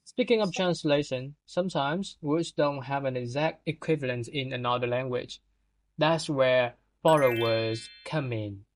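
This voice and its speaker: A man's voice: mid-range voice, measured pace. A man co-hosting a scripted vocabulary lesson, reading out definitions with small stumbles. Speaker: podcast co-host.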